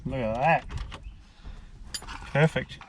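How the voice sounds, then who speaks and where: A man's voice: mid-range voice, slow. An Australian man with an informal, laid-back manner, talking casually through a hands-on product review. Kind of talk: casual hands-on vlog narration. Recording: clearly noisy amateur vlog audio.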